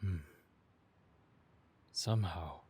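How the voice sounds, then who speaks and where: A man playing a weakened, proud vampire with formal, solemn phrasing and a breathy voice that dips into whispers, in a dramatic ASMR audio roleplay. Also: sits low, drawn-out words, mellow, a little hiss or hum. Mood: resigned.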